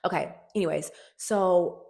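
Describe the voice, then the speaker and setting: Woman, actress, somewhat low voice, emphatic, repeats phrases, casual testimony to camera. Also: a little slow, creaky. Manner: animated, emphatic storytelling, often repeats phrases. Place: on a film set.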